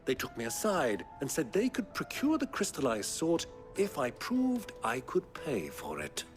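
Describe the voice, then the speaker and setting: A man's voice: a little high, huge pitch swings, unhurried, soft background music. An actor voicing a crafty older British nobleman in a video game, with pompous, florid, sly diction. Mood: smug, amused.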